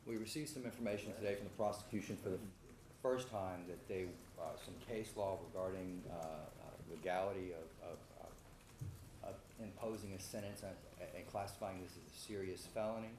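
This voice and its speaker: A man making halting, hesitant legal remarks in court as a lawyer. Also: deep voice, fairly even intonation. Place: indoor courtroom. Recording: echoey, noisy courtroom pickup.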